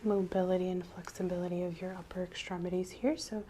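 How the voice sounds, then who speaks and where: A woman with a mellow, dark-toned voice speaks softly as a clinician in an ASMR medical role-play. She gives calm instructions step by step and gently reassures. A faint background noise sits under her voice on a clip-on mic. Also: lowish voice, fast talker.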